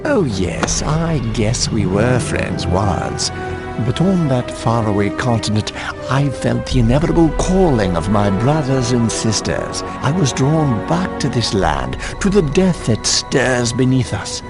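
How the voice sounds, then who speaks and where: An actor playing a scheming, gloating undead villain in a video game, mocking and dramatic in manner. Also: male voice, mid-range voice, big pitch swings, unhurried, loud music behind the voice. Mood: brooding. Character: scheming, gloating undead villain, betrayer of his old friend.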